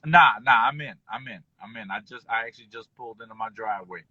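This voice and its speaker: A man born in Kuwait and raised in Detroit, telling his life story as an interview guest in a relaxed, rambling way full of fillers. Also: mid-range voice, quick pace, dry recording, highs cut off. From born in Kuwait, raised in Detroit and New Orleans. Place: at home, just pulled into driveway.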